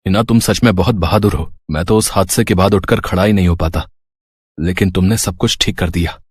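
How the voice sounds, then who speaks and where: A grateful, loving husband in a storybook drama, as a man plays him, soft and tender. The sound is dry and close. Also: low-pitched, brisk, husky, dry recording.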